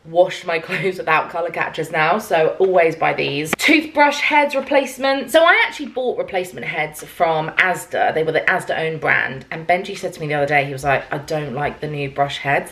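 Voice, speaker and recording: A young woman chatting to camera in her kitchen about her shopping haul, upbeat and lively. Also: low-pitched, moderate pace. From England. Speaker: vlogger. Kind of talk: casual haul video talk to camera. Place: kitchen at home, indoors.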